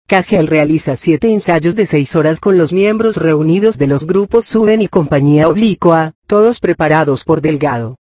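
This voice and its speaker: A narrator reading a scholarly text aloud in a formal, measured, scripted way. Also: medium pitch, a little mellow, dry recording.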